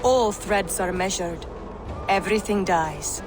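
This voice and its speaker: A woman's voice: mid-range voice, over music, lightly acted. An actress playing a solemn, fatalistic Norn prophetess in a video game, chanting her words like an oracle. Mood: ominous. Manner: slow, oracular, incantatory. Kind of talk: dramatic video game acting.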